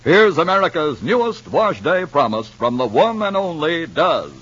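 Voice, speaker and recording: A man's voice: very animated, normal speed, a bit bright, breathy edge. A man delivering an emphatic, punchy advertisement in old-time radio style. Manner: emphatic, punchy sales pitch. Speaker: radio commercial announcer. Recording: muffled old radio broadcast recording.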